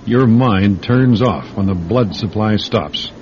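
Stern doctor on muffled old radio. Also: male voice, fairly low pitch, takes it slowly, slight background noise. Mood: grim. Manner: stern, exasperated, emphatic. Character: indignant, conscience-stricken male doctor, weary and stern. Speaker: actor in a radio play. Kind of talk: classic radio drama acting.

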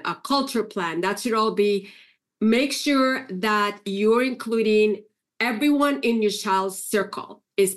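A middle-aged woman from Puerto Rico, talking casually as a podcast guest and telling family stories. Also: mid-range voice. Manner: chatty, warm storytelling with fillers. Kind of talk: casual interview conversation.

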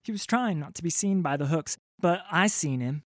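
A man's voice: on the high side, expressive, no echo, voice pushed up, lightly acted. A man voicing a scared young street urchin who stumbles over his words. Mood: frightened. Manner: halting, faltering. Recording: dry studio recording.

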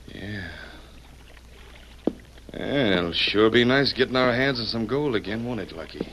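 Low-voiced, scornful outlaw boss. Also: male voice, lively intonation, a little slow. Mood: sly, pleased. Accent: American. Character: hard, scheming outlaw gang boss, scornful. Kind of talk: old-time American radio western acting. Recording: noisy vintage radio recording.

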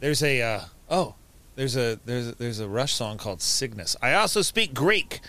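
A man's voice: mid-range voice, animated, moderate pace, pushed and bright. A man playing a tabletop game, tossing out sarcastic quips. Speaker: player. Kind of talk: casual tabletop banter.

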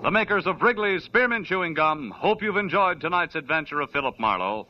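Male radio announcer, upbeat polished delivery, bouncing pitch, muffled old broadcast. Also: lively intonation, fairly quick. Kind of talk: 1940s radio announcing and ad reading.

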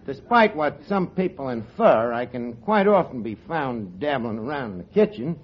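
An actor playing a brash, slangy, wisecracking tavern manager in a 1940s radio comedy. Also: male voice, medium pitch, big pitch swings, moderate pace, background noise, natural voice. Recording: dull old radio broadcast, audience laughter.

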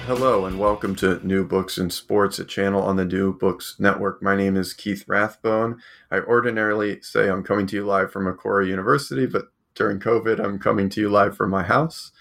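Deep, flat-voiced podcast host. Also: male voice, a little fast, creaky. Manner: hesitant, many fillers and restarts. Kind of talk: academic interview podcast. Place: home.

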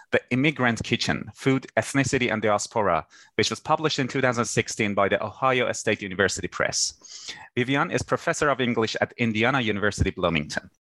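A man's voice: medium pitch, a bit bright, dry recording. A man hosting an interview podcast, asking polite questions with hesitant fillers. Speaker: podcast host.

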